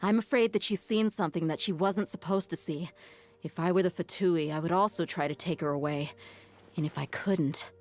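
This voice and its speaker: A capable young mercenary woman in a video game, played by an actress, her voice notably low for a woman. She speaks in a measured, watchful and decisive way over background music. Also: a little mellow, close to an everyday voice. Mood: urgent, grave. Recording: background music under the dialogue.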